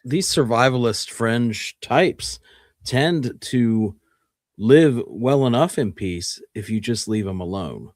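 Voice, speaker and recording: A man co-hosting a radio show, offering casual commentary in a measured, reflective way. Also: mid-range voice, lively intonation, a little mellow, dry recording.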